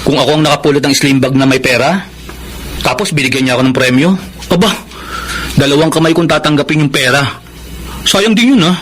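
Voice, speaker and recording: Actor as chatty, higher-voiced young buddy. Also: male voice, fairly quick, long pauses, fairly bright tone, a little hiss or hum, natural voice. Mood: teasing. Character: chatty young male waiter, frank, money-minded friend. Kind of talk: Filipino radio drama acting.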